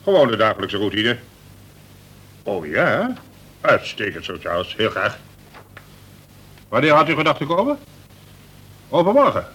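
Formal colonel, radio drama, animated intonation, raspy edge, courteous. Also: male voice, mid-range voice, a little slow, pauses often, full character voice, poor audio. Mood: courteous, brisk. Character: polite, brisk male colonel running a prison. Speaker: actor. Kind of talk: Dutch radio play acting.

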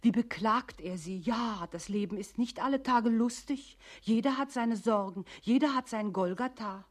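An actress in a radio play voicing a female instructor who coolly guides a timid employee with measured imperatives. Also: a little high, lightly acted. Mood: mock sympathy. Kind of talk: scripted radio play narration.

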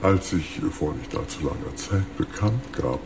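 A man's voice: sits low, expressive, measured pace, creaky, background noise, full character voice. An actor playing an ancient, gleefully cruel billionaire villain in a radio crime drama, smugly savoring his words. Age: very old.

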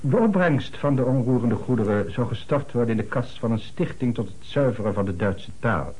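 An actor playing an earnest, formal middle-aged notary in a radio play, speaking in a measured way with dramatic emphasis. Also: male voice, medium pitch, animated, mellow, breathy edge, poor audio. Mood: explanatory.

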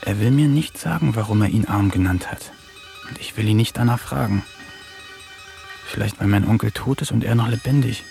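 A man's voice: fairly low pitch, moderate pace, creaky, a little mellow, faint music behind. An actor playing an eccentric young poet who narrates his diary in a dry, ironic manner in a radio play. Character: eccentric young male poet, wants to talk with animals.

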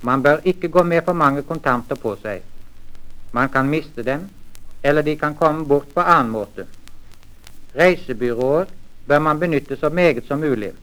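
Higher-pitched man, formal lesson reader. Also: fairly bright tone, low-quality recording. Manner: measured, carefully enunciated, formal. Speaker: language course reader. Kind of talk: formal scripted lesson reading, old-time language record. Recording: vintage 78rpm language record.

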